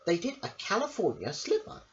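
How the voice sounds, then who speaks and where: Very animated woman storyteller, muffled recording. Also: medium pitch, a little fast. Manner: theatrical, emphatic, with stumbles over words. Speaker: storyteller on own channel. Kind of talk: animated children's story read-aloud. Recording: muffled, hissy home recording.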